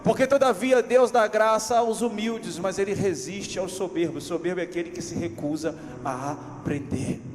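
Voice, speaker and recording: Brazilian pastor preaching in a reverberant church. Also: male voice, sits high, normal speed, few pauses. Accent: Carioca Brazilian Portuguese. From Rio de Janeiro, Brazil. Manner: animated preaching, acts out everyday scenes, asks the congregation for amens. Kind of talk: sermon. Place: church hall.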